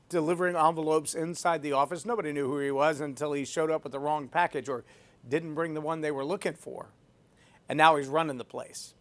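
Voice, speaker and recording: Emphatic man giving a leadership talk. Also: on the high side. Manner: emphatic, repeats phrases for effect; self-described loud voice. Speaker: podcast host. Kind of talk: leadership talk with personal story.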